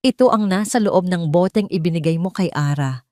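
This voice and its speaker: A woman's voice: fairly low pitch, moderate pace, darkish tone, no echo, clean studio sound, natural voice. A woman voicing a cold, angry male CEO who speaks in icy, insistent commands in an audio drama. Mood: cold anger. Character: cold, furious wealthy male CEO demanding answers.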